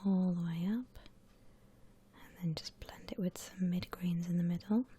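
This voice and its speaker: A woman softly whispering an ASMR drawing tutorial in a breathy, gentle, unhurried way, like an instructor. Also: fairly low pitch, fairly even intonation. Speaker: ASMR art channel host.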